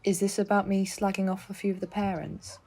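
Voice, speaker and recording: A woman's voice: medium pitch, fairly even intonation, brisk, dark tone, breathy edge. A woman playing a chatty, eager-to-please schoolteacher in a naturalistic audio drama, apologetic and a little indiscreet. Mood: sheepish, gossipy. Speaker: actor in an audio play.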